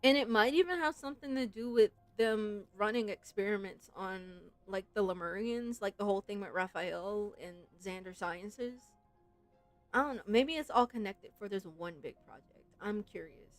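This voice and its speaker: A woman's voice: medium pitch, normal speed. A woman streamer giving casual, musing gameplay commentary and reading story text aloud. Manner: informal, musing, frequent "like". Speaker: game streamer. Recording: game music behind the voice.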